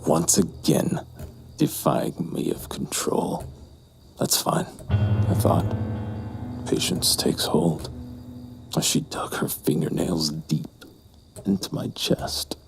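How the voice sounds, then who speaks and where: A man playing a brooding, lustful, menacing narrator in a horror audio drama, with slow, deliberate, breathy delivery. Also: very deep voice, big pitch swings, soft background music, heavily acted. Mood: dark, hungry. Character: brooding, lustful, menacing male narrator recounting a dark memory.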